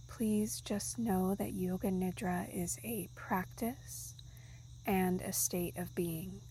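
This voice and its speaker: A woman leads a yoga nidra practice in a soft, breathy voice that slips toward a whisper. Her delivery is very unhurried and soothing, with a warm, relaxed tone. Faint insect sounds sit behind her voice. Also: mid-range voice, a little hiss or hum, poor audio. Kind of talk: guided meditation narration.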